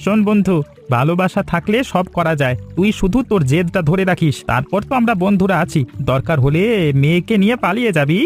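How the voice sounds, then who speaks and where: Cartoon sidekick, played by a man: young fisherman, chummy joker, animated melody in the voice, faint music. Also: high-pitched, a little fast. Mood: encouraging. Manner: playful, chummy. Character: teasing, loyal young male fisherman friend. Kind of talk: acted cartoon story dialogue.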